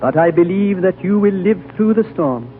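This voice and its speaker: Through a narrow, tinny old radio sound with music underneath comes an ancient lama. His voice is fairly high, gentle and solemn, with the fatherly weight of a prophet in a radio play. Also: lively intonation. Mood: prophetic, solemn. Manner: slow-spoken solemn, fatherly, oratorical. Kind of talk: 1940s radio drama acting. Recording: tinny 1940s radio recording over music.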